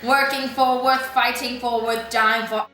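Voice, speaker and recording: A blustering, indignant father, played by a woman reading a novel, declaiming every line with emphasis. The voice is bright and rings through a very echoey room over music. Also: fairly high pitch, steady tone, unhurried.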